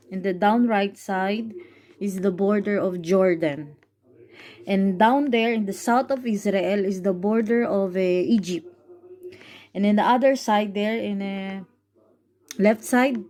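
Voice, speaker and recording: A caregiver and vlogger from the Philippines, a woman with a Filipino accent, whose native language is Tagalog, explains events in a halting way, often pausing with fillers to search for words. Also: mid-range voice, a little mellow. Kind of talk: casual vlog explanation.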